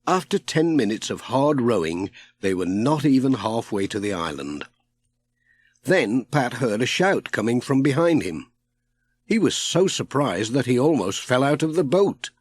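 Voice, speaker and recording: A man narrating a children's audiobook in a measured, expressive storytelling style. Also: mid-range voice, no room sound.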